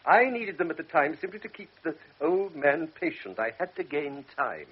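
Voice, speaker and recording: A man's voice: fairly high pitch, big pitch swings, moderate pace, husky, lo-fi sound. A man playing a blunt, cynical middle-aged doctor in a radio drama, talking in a brusque, lecturing, world-weary way.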